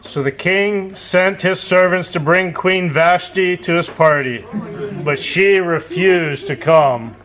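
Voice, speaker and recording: A man narrating a children's puppet show as a storyteller, with simple, deliberate phrasing. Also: high voice, a bit bright, poor audio.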